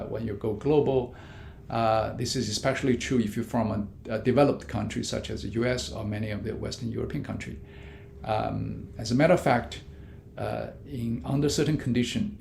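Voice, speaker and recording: Gravelly man with an echoey room sound. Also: darkish tone. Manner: many fillers and restarts, non-native grammar; measured, explanatory. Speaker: business professor. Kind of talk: academic interview answers. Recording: echoey room with faint rumble.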